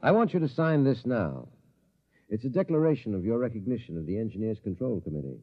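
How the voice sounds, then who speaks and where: A man's voice: on the low side, very animated, quick pace. An actor in an old radio drama playing an insecure, self-important rebel director who stammers and whines as he blusters. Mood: smug, menacing. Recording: muffled vintage radio recording.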